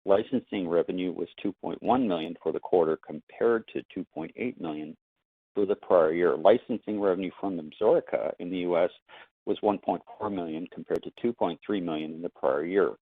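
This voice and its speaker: A man speaking as a finance executive, reading out quarterly figures in a formal, measured way on an earnings call. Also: low voice, breathy edge, dry recording. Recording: dry, band-limited phone line.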